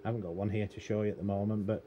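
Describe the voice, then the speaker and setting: Middle aged british bloke explaining kit at his workbench, lowish voice, bit rambly, some background noise. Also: little pitch movement, very fast, lo-fi sound. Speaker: radio technician and hobbyist presenter. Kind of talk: unscripted hands-on demonstration, casual explanation. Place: indoor cluttered electronics workbench. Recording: noticeable room noise behind voice.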